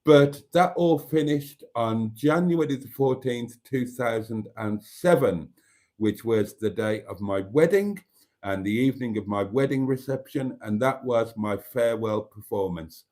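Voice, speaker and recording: A man's voice: mid-range voice, measured pace, mellow. A Welsh man, a native Welsh speaker, hosting a live stream and musing in a meandering way, with many fillers and restarts. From Wales. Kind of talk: casual live stream chat.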